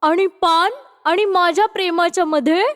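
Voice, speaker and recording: A woman's voice: very high-pitched, steady tone, moderate pace, a bit bright. A woman voicing a brash, sly, middle-aged village mother-in-law in a cartoon moral story, with rustic, chatty speech. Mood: gushing, folksy. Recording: long reverb on voice.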